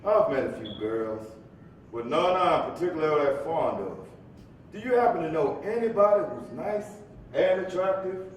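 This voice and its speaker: An earnest, restless young bachelor, acted by a man in a live stage reading. His pitch swings widely as he pleads theatrically, heard from a distance in a very echoey hall. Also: lively intonation, normal speed, close to an everyday voice. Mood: curious, eager. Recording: echoey hall from far off, faint rumble.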